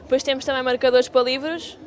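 A woman's voice: high voice, steady tone, fast. A young woman with a European Portuguese accent explaining items at an outdoor fair stand into a handheld mic. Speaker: student exhibitor. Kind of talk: casual explanation. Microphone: handheld reporter mic.

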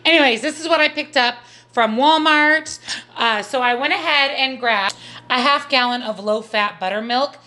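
American woman doing a chatty haul voiceover at home, highish bright voice. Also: normal speed. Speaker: vlogger. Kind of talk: casual product haul narration.

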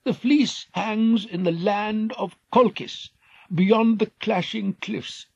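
A man voicing a fearful old blind king, high and pleading in tone. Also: fairly even intonation, slow, vocal fry, slightly rough, no room sound, highs cut off, exaggerated voice.

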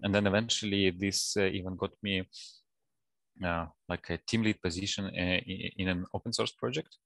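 Deep, gravelly Russian-accented man. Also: steady tone, moderate pace, fairly bright tone, dry recording. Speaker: guest, data science professional. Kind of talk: casual interview talk. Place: office, indoors, on a video call. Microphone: headset.

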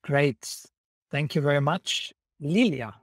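Halting young man hosting, dry sound. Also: fairly high pitch, lively intonation, husky, no room sound. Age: young adult. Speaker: host, volunteer coordinator. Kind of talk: hosting an online panel interview. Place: indoor room, video call. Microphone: wired earphones on video call.

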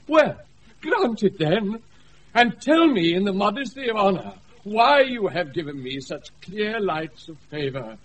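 An actor playing a self-important, wronged steward in a British radio Shakespeare, formal, indignant and pleading, on a noisy off-air recording. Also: male voice, high voice, a bit bright, exaggerated voice. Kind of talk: 1980s radio Shakespeare acting.

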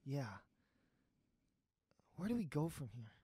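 Dry close recording, actor doing heartbroken young adult, earnest and halting, medium pitch, audio drama. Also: sing-song, mellow, husky, no echo, exaggerated voice. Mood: resigned. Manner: hesitant, earnest, halting confession. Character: heartbroken, lovesick young adult secretly turning into a beast.

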